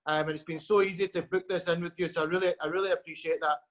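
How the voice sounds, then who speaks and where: A man from Scotland hosting an interview over a call, friendly and unhurried, with many fillers. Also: a little high, fairly even intonation, fairly bright tone. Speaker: host.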